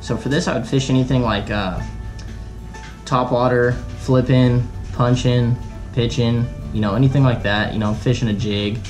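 A man's voice: mid-range voice, fairly even intonation, moderate pace, darkish tone, faint music behind. A young man in his twenties casually demonstrating fishing knots at a table in a small room, talking in an easygoing, chatty way full of "you know" and "like". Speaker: fishing vlogger. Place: small bedroom at a table, indoors. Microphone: camera close in front of him.

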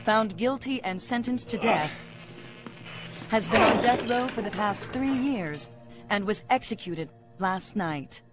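Woman playing a slow, formal newsreader. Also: mid-range voice, lively intonation, lightly acted. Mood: grave, formal. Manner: formal, measured. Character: formal female television news reporter. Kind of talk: news report in a video game. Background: prominent music.

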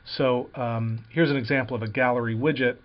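A man narrates a step-by-step software walkthrough in a plain, explanatory way, pausing often with uh and um. His voice sits at an ordinary middle pitch. The recording is dull and lo-fi, made at a desktop computer. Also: steady tone. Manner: plain explanatory, frequent "uh" and "um" fillers. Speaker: software tutorial presenter.

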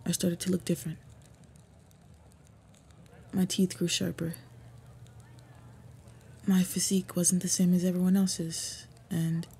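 Woman acting as half-orc woman, devoted and respectful, fantasy roleplay audio, very breathy, soft, even intonation, formal. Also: on the low side, heavily acted. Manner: formal, earnest, measured.

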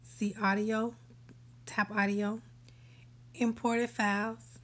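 Slow, even-toned woman tutorial host. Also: mid-range voice. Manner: relaxed step-by-step instructions, sometimes whispery. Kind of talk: screen-recorded app tutorial voice-over. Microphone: voice-over for a screen recording.

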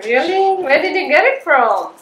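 In an echoey, noisy room at home, a woman with a high voice talks casually to a small child. She prompts with short, simple questions, as a parent behind the camera. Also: very animated, moderate pace. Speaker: parent filming a child's unboxing video. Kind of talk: casual chat with a young child. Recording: echoey room, clear background noise.

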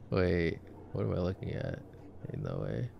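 A man giving casual, playful, wry ASMR commentary as a boyfriend watching a movie, with trailing pauses. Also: low voice, vocal fry, dark tone, slight background noise. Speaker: ASMR roleplay voice actor playing a boyfriend.

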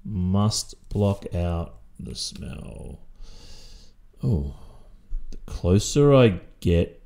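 A man's voice: low voice, sing-song, drawn-out words, vocal fry, dark tone, exaggerated voice. A young man with an Australian accent playing a stressed, sleepy, sweet-natured student boyfriend in an audio roleplay, muttering drowsily to himself. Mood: distracted, weary. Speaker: roleplay voice performer.

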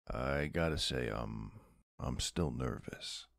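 Guy doing a big tough mafia boss gone soft for his spouse, super low crackly voice, slow tender asmr roleplay. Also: dry recording, clean studio sound, exaggerated voice. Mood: tender, uneasy. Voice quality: very deep, gravelly. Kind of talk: intimate ASMR roleplay acting.

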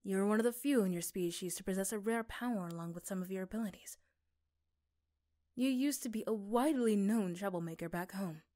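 Woman narrator, soft, measured, scripted second-person ASMR storytelling. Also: medium pitch.

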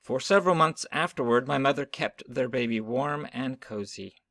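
Man calmly narrating a picture book. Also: medium pitch, moderate pace, no echo, clean studio sound. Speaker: reader of a children's book. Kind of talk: reading a picture book aloud.

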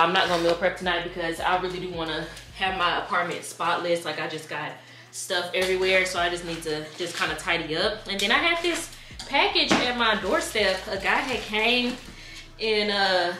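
A young woman with a Southern American accent vlogging casually from her apartment kitchen, chatty and excitable. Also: lowish voice, fairly quick. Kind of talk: casual vlog chat. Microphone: camera at a distance. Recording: echoey room, clear background noise.

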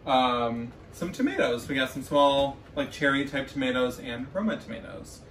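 A young man talks casually and effusively as a vlog co-host. His voice is mid-pitched. It is picked up from a short distance in a roomy space with faint noise. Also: expressive, normal speed, fairly bright tone. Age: young adult. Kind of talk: casual grocery haul chat to camera. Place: small kitchen at home, indoors. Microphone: camera a few feet away.

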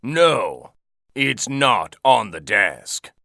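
A big angry giant from a children's cartoon , acted by a man. He bellows his demands slowly and forcefully. The pitch lurches up and down. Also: big pitch swings, gravelly, dry recording, studio quality, full character voice. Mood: angry, demanding. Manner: loud, demanding. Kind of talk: children's English-lesson cartoon acting.

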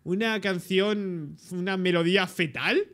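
A young man with a Peninsular Spanish accent giving excitable reaction commentary and translating aloud as he goes. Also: sits high, a little slow. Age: young adult. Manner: excitable, interjecting. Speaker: reaction video host. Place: indoor room, webcam reaction setup. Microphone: desktop streaming microphone.

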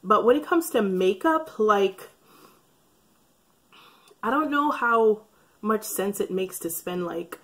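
A woman in her thirties, a beauty vlogger, talking casually to camera in a chatty, wry way with a slightly raspy voice from breathing trouble. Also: mid-range voice, animated, slow, long pauses, a bit bright. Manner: chatty, wry, rambling asides. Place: bright room by a curtained window. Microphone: camera framed head and shoulders.

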